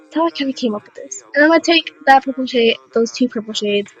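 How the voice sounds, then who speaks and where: A young woman with a raspy voice, casually narrating a makeup tutorial in a rambling, unsure, self-deprecating way. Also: sits high, fast talker, lo-fi sound, soft background music.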